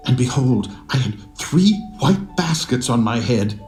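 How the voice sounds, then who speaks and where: An actor playing a troubled, eager royal baker of ancient Egypt in a dramatised scripture reading. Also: male voice, medium pitch, expressive, unhurried, darkish tone, echoey room, faint music behind, close to an everyday voice. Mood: eager, anxious.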